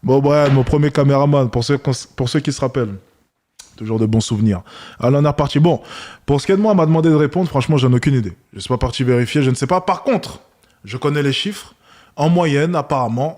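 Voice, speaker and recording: A man's voice: medium pitch, lively intonation, a little fast, darkish tone. Hesitant wry man streaming live. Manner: hesitant, wry, many restarts. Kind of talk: casual live-stream commentary.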